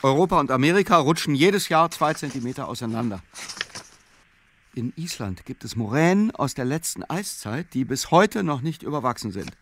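Male actor as brooding solitary man, medium-pitched voice, obsessive reciting, deliberate, halting, literary radio play. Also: animated, slight background noise, natural voice. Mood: sober reciting. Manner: deliberate, fragmented reciting with hesitations.

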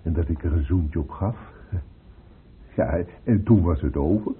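A male actor playing a tender, protective middle-aged father in a radio drama, coaxing haltingly and repeating his phrases. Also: sits low, slightly rough, heavily acted. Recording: muffled, noisy old radio play recording.

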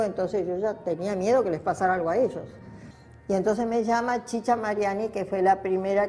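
A woman's voice: mid-range voice, mellow. An older woman with an Argentine accent gives a personal testimony, recollecting in a reflective, spontaneous way with emphatic repetition. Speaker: interviewee giving personal testimony.

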